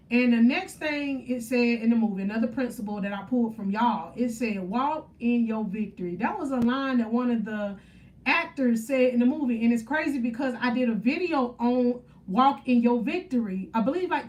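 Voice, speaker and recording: A woman's voice: on the high side, darkish tone, recorded from a distance, rough recording. A woman, chatting on a faith-centered livestream, upbeat and preachy as she answers viewer comments. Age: 30s. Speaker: faith motivational livestream host. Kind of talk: casual live stream chat with viewers.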